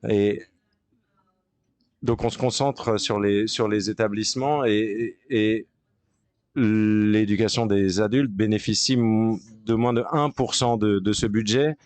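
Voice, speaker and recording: A man interpreting a panel discussion in real time from an interpreter's booth, with a fairly low voice. He talks in halting bursts, pausing and restarting as he keeps up with the speakers. The sound is dry and close, with no room around it. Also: fairly even intonation, darkish tone, no room sound. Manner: halting delivery with frequent pauses and restarts. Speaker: conference interpreter.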